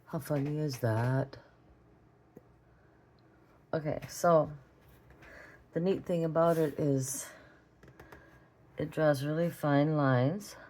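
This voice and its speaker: Raspy, low-voiced woman with a cold. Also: slow, pauses often, darkish tone. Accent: Minnesotan. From Minnesota. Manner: chatty, rambling, talks while drawing. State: hoarse from a cold. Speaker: art vlogger. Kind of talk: relaxed drawing tutorial chat.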